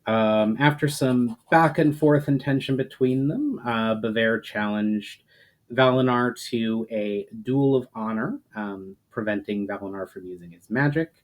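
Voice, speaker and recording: A man with a medium-pitched voice speaks as an author presenting his own fiction. His delivery is casual and a little hesitant in conversation, and measured and dramatic when he narrates as a storyteller. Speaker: author reading own book. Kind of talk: casual chat intro, then dramatic audiobook-style narration.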